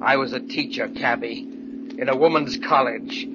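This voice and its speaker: An actor playing a tormented, menacing bridegroom in a theatrical, brooding 1940s radio thriller style. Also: male voice, medium pitch, fairly even intonation, moderate pace, lightly acted. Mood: dazed, desperate. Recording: muffled, noisy vintage radio recording.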